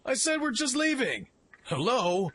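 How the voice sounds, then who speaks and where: A man acting a brash, excitable young mech pilot in a fan radio play. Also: very high voice, a little slow, full character voice. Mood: brash, irritated. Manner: brash, cocky, exclamatory. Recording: clearly noisy background ambience.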